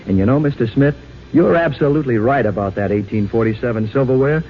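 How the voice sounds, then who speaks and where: Actor as folksy American husband, muffled radio. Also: male voice, mid-range voice, fast talker, faint music behind, close to an everyday voice. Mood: folksy, pleased. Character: folksy, satisfied husband chatting in an ad. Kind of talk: 1940s radio advertising dialogue. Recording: muffled, noisy old radio broadcast.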